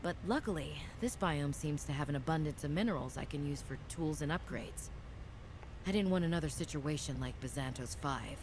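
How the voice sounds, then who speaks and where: Wry woman explorer, lowish voice. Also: lively intonation, fairly quick, darkish tone, a little hiss or hum, poor audio. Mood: reflective. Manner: wry, conversational. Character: young woman xenobiologist explorer, wry and determined. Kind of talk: game voice acting, inner monologue.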